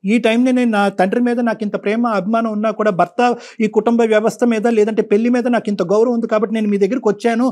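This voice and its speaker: A man's voice: high-pitched, level tone, quick pace, darkish tone. A middle-aged man, counselling persuasively and repeating his points for emphasis. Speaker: counsellor on a talk show. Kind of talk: counselling talk.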